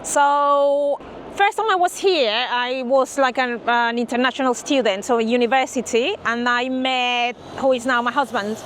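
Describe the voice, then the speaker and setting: A woman's voice: high-pitched, moderate pace, fairly bright tone, a little hiss or hum. A woman with a Mexican accent, talking casually as an interviewee.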